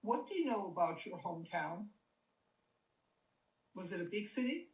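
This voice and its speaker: A woman conducts an oral history interview, asking polite, measured questions. Her voice sounds distant from the microphone, with a roomy echo like a remote call. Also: lowish voice, sing-song.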